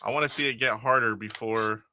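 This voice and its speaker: A man's voice: mid-range voice, fairly even intonation, brisk, sharp tone, no echo. A middle-aged man hosting a casual live stream from his attic game room, rambling and chuckling as he plays and reads viewer comments aloud. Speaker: retro game streamer host.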